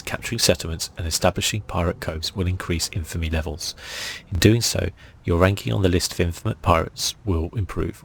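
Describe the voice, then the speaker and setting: A man with a very low, hushed voice doing ASMR game commentary. He is breathy and creaky, relaxed and low-key, close on the mic. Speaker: gaming channel host. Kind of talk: soft-spoken ASMR game commentary and reading aloud.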